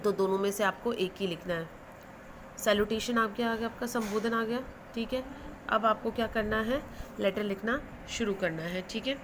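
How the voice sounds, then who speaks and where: A woman's voice: medium pitch, moderate pace, noisy background. A woman with an Indian accent teaching English to school students, explaining instructively and switching between Hindi and English phrases as she repeats and translates.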